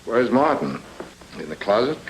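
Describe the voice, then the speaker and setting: An actor playing a cool, wisecracking, world-weary private detective in clipped old-time radio noir style. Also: male voice, medium pitch, big pitch swings, moderate pace, slight background noise, lightly acted, rough recording. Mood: sardonic.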